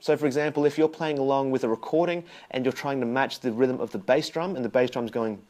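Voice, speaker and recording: A young man teaching bass, talking straight to the viewer in a chatty, explaining way and asking questions as he goes. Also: quick pace, darkish tone. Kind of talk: direct-to-camera instructional talk.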